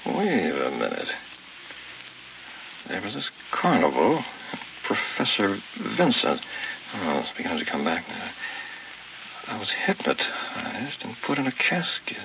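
Slow, deep-voiced groggy buried man. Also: wildly swooping pitch, creaky, full character voice. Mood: groggy, confused. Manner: halting, muttering in fragments. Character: groggy man waking buried alive in a casket. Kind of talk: old radio horror drama monologue. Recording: muffled, distant, noisy old radio broadcast.

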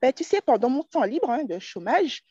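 A woman in her twenties from Martinique, telling her story as a podcast guest in a relaxed way with many fillers. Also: high-pitched, moderate pace, slightly rough, dry recording. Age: 20s. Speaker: guest entrepreneur. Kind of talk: casual interview conversation. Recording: dull lo-fi dry sound.

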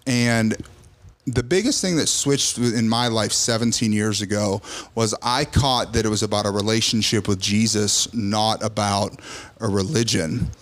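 Earnest pastor talking in a podcast. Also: male voice, on the low side, steady tone, measured pace. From Serbian heritage, raised Serbian Orthodox. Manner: earnest, repeats phrases for emphasis. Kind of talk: casual podcast conversation.

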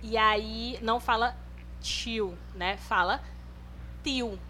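A young woman from northern Brazil talks through her points in an unhurried, chatty way. Her voice sits a little high and rings bright and crisp. A faint hiss runs under the recording. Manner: relaxed, explanatory, chatty. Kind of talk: casual group chat.

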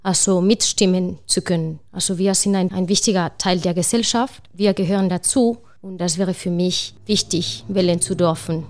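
A woman's voice: fairly low pitch, steady tone, moderate pace, few pauses, darkish tone. A woman from Spain speaking German with a Spanish accent, a panel guest who argues earnestly and fluently, with a few searching restarts. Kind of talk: panel discussion.

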